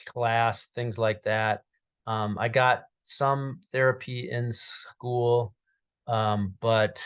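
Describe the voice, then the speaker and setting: A man in his thirties from Minnesota who stutters, telling his personal story to a webcam in a small dark room, with repeated sounds, blocks and many fillers. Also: mid-range voice, clean tone. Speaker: guest speaker. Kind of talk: personal story told to camera.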